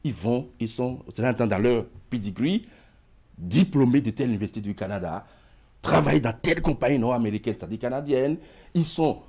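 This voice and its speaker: A middle-aged man with an African French accent, a guest on a talk show, speaking haltingly and repeating words as he searches for them. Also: mid-range voice, dark tone.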